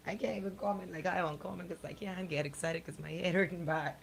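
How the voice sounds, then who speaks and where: Woman, low-pitched, creaky, blunt and exclamatory, colloquial radio commentary, faint noise behind. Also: fairly even intonation, fairly quick. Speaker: radio show host. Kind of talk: casual studio banter and news commentary.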